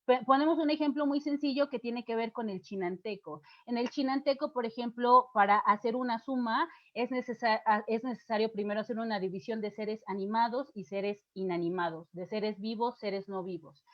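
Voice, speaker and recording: A woman's voice: on the high side, moderate pace, hardly any pauses. A middle-aged woman with a Mexican accent, a teacher lecturing students in an explanatory way, asking questions and answering them herself. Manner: explanatory, poses questions and answers them, frequent fillers and restarts. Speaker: literature teacher giving a lecture. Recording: muffled lo-fi lecture audio.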